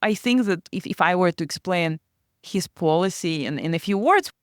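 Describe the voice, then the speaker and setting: A woman's voice: mid-range voice, dry recording, studio quality. A woman finance professor co-hosting a casual academic discussion, explaining with everyday comparisons.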